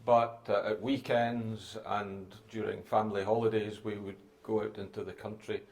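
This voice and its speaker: A middle-aged Scottish man, a guest answering interview questions in a measured, courteous way with some restarts. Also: on the low side, flat delivery, vocal fry, darkish tone. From Scotland. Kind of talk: relaxed conversational interview.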